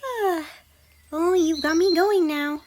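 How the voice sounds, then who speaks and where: Woman voicing a high, affectionate cartoon girl pony. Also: slow pace. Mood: playful concern. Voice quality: squeaky, breathy. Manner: energetic, theatrical, tender. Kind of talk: ASMR fan fiction roleplay. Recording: noisy, birdsong and ambience behind.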